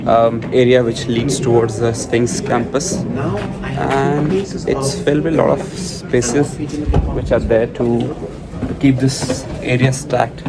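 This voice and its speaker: A young man from India with an Indian accent giving a casual, rambling walking-tour commentary at an ancient site, with many pauses and restarts. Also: medium pitch, steady tone, over a noisy crowd. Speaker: travel vlogger. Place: outdoors at an ancient monument site and inside a stone passage. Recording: loud outdoor noise with passing vehicles.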